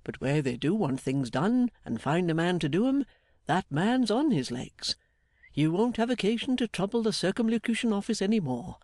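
A man voicing a jolly, blustering older English gentleman in an audiobook, fatherly, emphatic and effusive. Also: sits high, very animated, moderate pace, dry recording, studio quality, voice pushed up. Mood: hearty, excited. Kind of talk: dramatised audiobook character.

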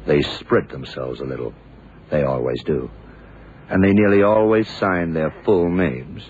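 A hard-boiled detective in an old radio drama, with an extremely deep voice. He lays things out shrewdly and deliberately in clipped sentences. The recording is muffled, with audible background noise. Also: a little mellow. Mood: stern, accusing. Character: shrewd, hard-boiled blind male detective confronting killers. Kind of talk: 1970s radio drama acting.

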